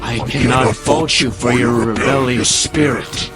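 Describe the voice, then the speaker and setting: Man acting as a slow, menacing possessed villain. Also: mid-range voice, fairly even intonation, natural voice. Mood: haughty, brooding. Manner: menacing, haughty, formal. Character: banished, contemptuous male entity possessing a man's body. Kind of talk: audio drama acting. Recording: music behind the voice.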